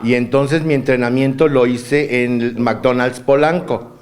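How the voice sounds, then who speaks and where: A man's voice: mid-range voice, steady tone, unhurried. Man in his fifties, Mexican Spanish accent, campy, playful guest. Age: 50s. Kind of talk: casual chat.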